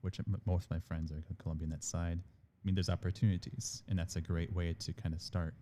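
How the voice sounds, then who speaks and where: A man's voice: low-pitched, level tone, brisk, mellow, slight background noise. A man being interviewed on a podcast about his admissions work, talking loosely with many fillers like "like" and "again.". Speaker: international admissions manager, guest.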